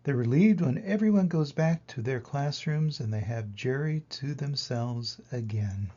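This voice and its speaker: An older man reading a children's picture book aloud in an expressive way, with dramatic pauses and emphasis. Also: mid-range voice, muffled recording. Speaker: reader of children's picture books. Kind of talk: animated storybook read-aloud.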